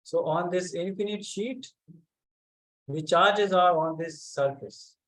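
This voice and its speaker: Slow, halting physics teacher. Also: male voice, sits high, fairly bright tone. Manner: slow and halting, checks in with students by name. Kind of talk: online class lecture.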